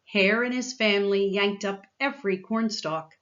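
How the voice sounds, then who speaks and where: A woman reading a children's book aloud in an expressive, deliberate, dramatized storytelling style. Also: mid-range voice, highs cut off.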